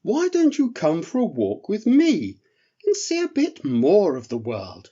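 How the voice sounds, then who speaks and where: A man's voice: high voice, huge pitch swings, measured pace, mellow, lo-fi sound, pitched above the performer's own voice, full character voice. A man voicing a small, polite, slightly timid male elf in a children's storybook, with a hesitant manner. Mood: eager.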